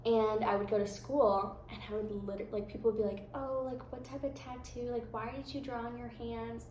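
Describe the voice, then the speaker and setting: Young woman chatting openly, kinda quiet and thoughtful, roomy echo, faint hiss. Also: on the high side, steady tone, brisk. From United States. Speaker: guest. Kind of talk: casual chat.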